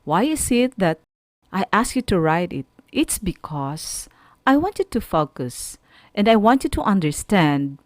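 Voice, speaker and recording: A woman with a Filipino accent gives an instructional radio lesson at an unhurried pace. Her pitch rises and falls widely as she carefully explains and questions her students. The sound is close and dry. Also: no echo. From Philippines. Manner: deliberate, didactic, asks the listener questions. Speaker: radio school teacher.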